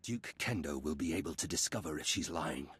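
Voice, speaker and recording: An actor playing a smug male nobleman's henchman in a video game, formal and quietly menacing. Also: rumbling low, lively intonation, a little fast, husky, no echo, heavily acted. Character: smug male envoy of a lecherous duke. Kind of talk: video game cutscene acting.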